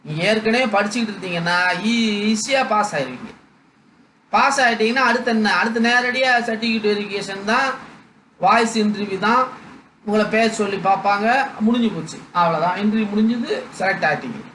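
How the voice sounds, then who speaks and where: A man's voice: sits high, fairly quick, bright, forceful tone. A middle-aged or older man with a Tamil accent, teaching students in a didactic, instructional lecture style. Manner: didactic, reading out numbered lists with frequent check-in questions. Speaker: exam coaching teacher. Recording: echoey room with background noise.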